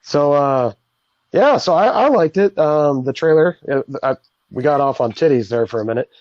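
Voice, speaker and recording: A man voicing a sleazy, rambling male puppet co-host in a live comedy chat, halting and full of ums. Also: fairly high pitch, expressive, darkish tone, no room sound, natural voice. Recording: dull, dry home stream audio.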